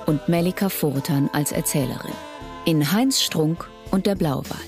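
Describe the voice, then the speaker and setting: A woman's voice: low-pitched, moderate pace. A woman giving scripted narration for an audio drama trailer. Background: music.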